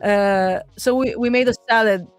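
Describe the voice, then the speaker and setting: A woman's voice: on the high side, normal speed, smooth, no echo, faint music behind. A woman talking casually and playfully on a livestream call from home, as a trader guest. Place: at home at a desktop computer.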